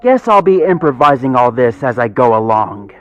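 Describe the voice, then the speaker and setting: A man voicing an impatient, sharp-tongued female husky in a storybook reading. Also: mid-range voice, big pitch swings, normal speed, muffled recording, faint music behind. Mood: dry, sarcastic. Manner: curt, impatient, snapping. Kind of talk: storybook character voice.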